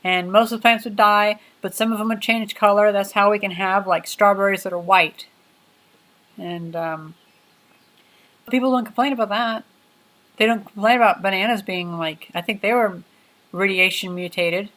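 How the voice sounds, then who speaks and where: A woman's voice: mid-range voice, moderate pace, fairly bright tone. A woman in her twenties chatting casually on a livestream from her workshop, rambling and full of fillers. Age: 20s. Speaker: livestream host. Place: home workshop.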